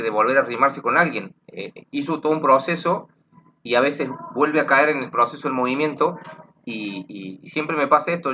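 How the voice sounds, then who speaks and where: A man's voice: mid-range voice, pushed and bright, dull audio, a little hiss or hum. An Argentine man, a tango teacher, explaining earnestly and rambling with repeated words. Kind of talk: explanatory talk.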